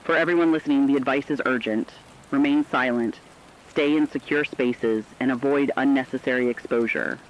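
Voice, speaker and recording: A man playing a serious emergency radio reporter, reading scripted horror narration with measured urgency. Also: mid-range voice, muffled recording, lightly acted. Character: serious male radio reporter advising apocalypse survivors.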